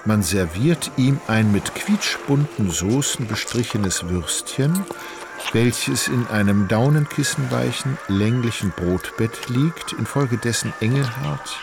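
A man acting as narrator of a literary radio drama, an ornate, wry storyteller with a measured delivery. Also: medium pitch, animated, gravelly, background noise. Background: music.

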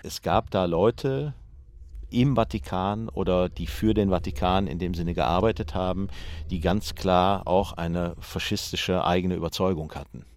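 A man with a low voice, recorded close and dry, speaks as an expert. He explains spontaneously and deliberately, feeling for the exact word. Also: fairly even intonation, darkish tone, dry recording. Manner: deliberate, searching for precise wording. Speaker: expert commentator. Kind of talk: unscripted interview explanation.

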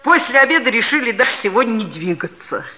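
An actor playing an earnest, self-assured schoolboy, a geologist's son, who narrates and talks in a measured way in a 1960s radio play. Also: mid-range voice, big pitch swings, pushed and bright, rough recording. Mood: earnest, storytelling. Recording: band-limited 1960s radio play recording.

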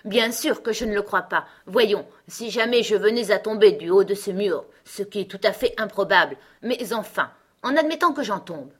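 A woman's voice: medium pitch, expressive, normal speed, few pauses, slightly rough. A woman voicing a pompous, irritable male talking egg in a storybook, with a haughty, emphatic delivery. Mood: irritated, disdainful. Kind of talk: storybook character acting.

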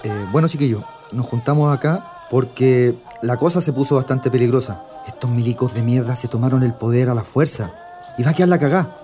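A man's voice: mid-range voice, brisk, over music, close to an everyday voice. A man acting a determined, idealistic young student leader in a radio drama, colloquial, with a Chilean accent. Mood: worried, urgent. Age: young adult. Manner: colloquial, earnest.

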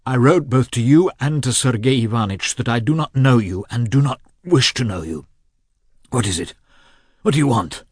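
A man reading aloud and voicing a wild, gaunt, irritable man who speaks in sharp, defiant outbursts. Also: fairly low pitch, very animated, moderate pace, slightly rough, dry recording, clean studio sound, pitched above the performer's own voice. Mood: hostile.

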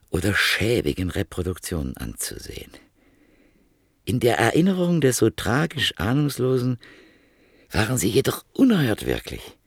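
Male narrator, deep gravelly husky voice, dry close sound, lively intonation. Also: no room sound. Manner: measured, grave storytelling. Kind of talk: literary radio drama narration.

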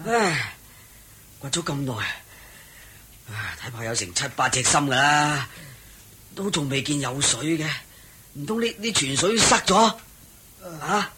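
A man's voice: a little high, animated, unhurried, long pauses, bright, forceful tone, a little hiss or hum. A man playing an easygoing labourer in a Hong Kong Cantonese radio drama, given to sighs and exclamations. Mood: weary. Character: easygoing, resigned male labourer, easily excited.

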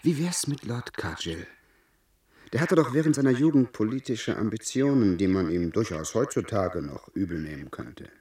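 An actor playing a sly confidant in a German radio play, coaxing and insinuating in a deliberate manner. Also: male voice, lowish voice, big pitch swings, darkish tone, echoey room, far from the mic. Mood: sly scheming. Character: sly, scheming confidant egging a gentleman on.

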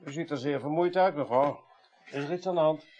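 An actor playing a courteous, observant investigator in a radio play, questioning politely in measured, formal phrases. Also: male voice, fairly high pitch, lo-fi sound, background noise, lightly acted. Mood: courteous, probing. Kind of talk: 1980s radio play acting.

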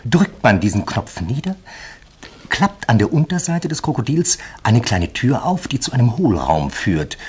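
An actor playing an anxious, eager merchant in a German radio drama, polite and earnest with halting hesitations. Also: male voice, medium pitch, very animated, a little hiss or hum. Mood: agitated, confiding. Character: anxious, eager male merchant seeking a detective's help.

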